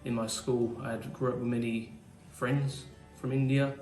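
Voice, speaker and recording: A man's voice: medium pitch, level tone, moderate pace, a little mellow, faint music behind. A man from England giving a hesitant video testimonial, often restarting his sentences. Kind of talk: casual testimonial. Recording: echoey room, from a distance.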